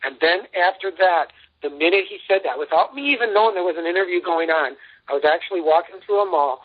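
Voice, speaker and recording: A man giving an emphatic, boastful sales pitch as a guest in a radio interview, telling anecdotes as he goes. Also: fast, slightly rough. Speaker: interview guest, company founder and CEO. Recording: muffled lo-fi phone-like radio line.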